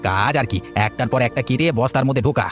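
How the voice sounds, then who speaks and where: A man plays a vengeful, brash villager in a cartoon tale. He talks extremely fast at a middling pitch, indignant and boastful. Faint music sits behind him. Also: natural voice. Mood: brash, scheming. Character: resentful, vengeful village husband, brash vegetable thief. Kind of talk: cartoon folk-tale voice acting.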